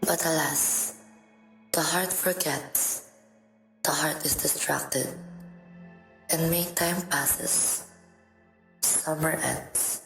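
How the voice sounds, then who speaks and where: A woman narrator reads a dramatic story solemnly in a low voice with plenty of fry. The room is echoey and faint music plays underneath. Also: steady tone, extremely slow, pauses often, a bit bright. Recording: roomy echo, faint music behind.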